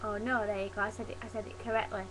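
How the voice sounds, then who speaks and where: A young woman from Yorkshire with a softened Yorkshire accent, chatting casually to her webcam. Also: medium pitch, level tone, rapid-fire, background noise. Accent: Yorkshire, softened toward standard British English. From Yorkshire, England. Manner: chatty, demonstrates dialect words, laughs at herself. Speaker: vlogger. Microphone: webcam mic.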